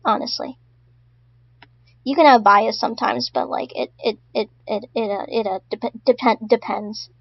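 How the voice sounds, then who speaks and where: A woman's voice: a little high, steady tone, normal speed, gravelly, husky, dry recording, highs cut off. A woman streaming casually to her chat, stuttering often and repeating her words. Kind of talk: casual live-stream commentary.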